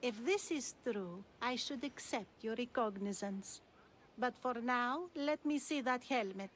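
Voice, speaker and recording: Woman playing mysterious older sage, lofty and cryptic, measured delivery, fairly high voice, game dialogue, faint background noise. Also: close to an everyday voice, rough recording. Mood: lofty, knowing. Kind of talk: video game cutscene dialogue.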